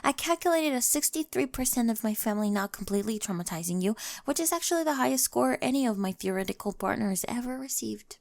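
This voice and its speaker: A quirky, apologetic girlfriend, played by a woman with a high voice. She talks casually and reassuringly, wry and fondly exasperated, in an intimate ASMR roleplay. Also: lively intonation, quick pace, gravelly, few pauses, darkish tone. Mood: nervous, reassuring.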